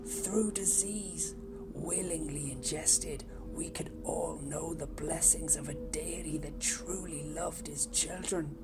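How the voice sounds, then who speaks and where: A woman acting out a bitter orphan who has given herself to a god of plague, her voice low and unhurried. She speaks with hushed, whispery intensity, as though confiding a dark faith. A loud musical score plays beneath her throughout. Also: vocal fry, full character voice. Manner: intense, brooding, slow and deliberate. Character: embittered orphan turned devoted plague-god cultist. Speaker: audio drama narrator-character. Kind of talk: first-person dramatic monologue.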